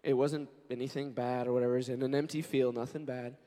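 A man in his forties, a pastor, preaching a sermon in an earnest, conversational way and telling stories, his voice hoarse and scratchy. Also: medium pitch, level tone, fast talker, dark tone. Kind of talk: sermon with prayer and scripture reading. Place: church sanctuary. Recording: long reverb of a large church hall.

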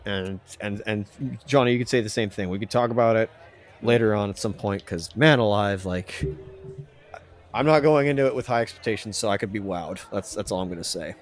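A man's voice: fairly low pitch, fairly quick. A man co-hosting a casual music discussion podcast, ranting with strong opinions and mild stand-in swear words. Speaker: podcast co-host.

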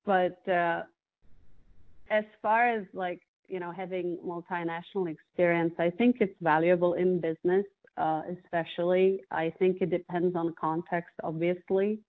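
A woman's voice: on the low side, fairly even intonation, a little slow. A woman from the Czech Republic with a Czech accent, a guest in an interview, speaking thoughtfully with frequent restarts. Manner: thoughtful, many restarts and fillers. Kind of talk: interview conversation. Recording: phone-like narrow band.

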